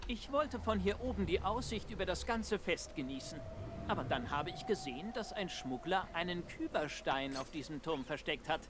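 A man's voice: high-pitched, expressive, moderate pace, noisy background. An actor voicing a chatty male festival visitor in a video game, musing aloud and talking himself into a question.

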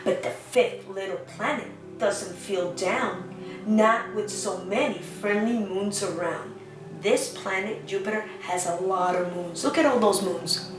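A woman, perhaps in her thirties, a preschool teacher talking to small children in an animated, simple way with lots of questions. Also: mid-range voice, measured pace. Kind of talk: children's lesson and read-aloud. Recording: roomy, echoing, distant microphone, faint music.

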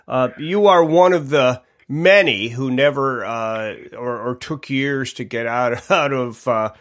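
A man's voice: mid-range voice, slow pace, a bit bright. A middle-aged man from Connecticut, hosting a podcast interview in a hesitant way with many fillers and restarts. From Connecticut, USA. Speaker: podcast host. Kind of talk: casual interview chat.

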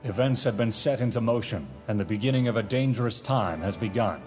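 A man's voice: fairly low pitch, a little fast, darkish tone. A man reading scripted narration and credits as the announcer of an audio drama, in a dramatic style. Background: orchestral film music.

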